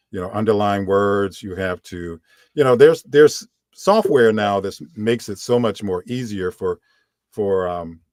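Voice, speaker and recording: A man with a deep, low voice talks casually about his work. He rambles and stops often to say "you know" and "um". He is recorded close to the mic in a dry room. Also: dry recording. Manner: relaxed, rambling, many fillers. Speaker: audiobook narrator and voiceover coach. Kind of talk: casual interview answers.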